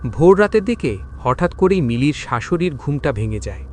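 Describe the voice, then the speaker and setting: A man tells a scripted horror tale as its narrator. His voice is somewhat high and very animated in pitch, dramatic in delivery, with faint noise and ambience behind it. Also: normal speed. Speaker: story narrator.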